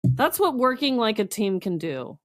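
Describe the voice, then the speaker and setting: A woman's voice: fairly high pitch, very animated, moderate pace. A playful, exclamatory woman chatting casually and comically as a podcast co-host. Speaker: podcast co-host, quiz contestant. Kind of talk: casual comedic chat.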